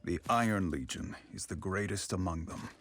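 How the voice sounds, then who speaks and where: Man playing a low-voiced grave mercenary knight. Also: moderate pace, background noise, lightly acted. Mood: grave, reflective. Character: battle-hardened mercenary turned knight, recounting his own story. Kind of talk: scripted game narration and dialogue.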